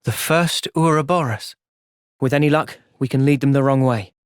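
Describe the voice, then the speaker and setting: A man with a British accent playing a thoughtful, gentle young soldier in video game cutscenes, measured and earnest. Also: mid-range voice, clean tone, no room sound, studio quality, natural voice. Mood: calm, earnest.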